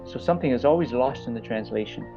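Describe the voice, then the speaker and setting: A middle-aged man, a coach, giving a personal testimonial in a halting, reflective way with pauses mid-thought. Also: medium pitch. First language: English. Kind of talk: personal testimonial talk to an audience. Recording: slightly dull audio with music underneath. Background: music.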